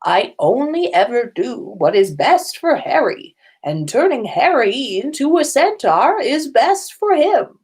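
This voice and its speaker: A woman voicing a serenely self-assured old wizard headmaster in a storybook reading, measured and declamatory. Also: sits high, sing-song, a little mellow, breathy edge, pitched above the performer's own voice, exaggerated voice. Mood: serene, defiant.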